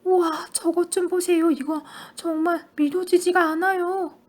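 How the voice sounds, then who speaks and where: A woman doing an excitable young boy. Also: very high-pitched, measured pace, voice pushed up. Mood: amazed. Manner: earnest, excitable.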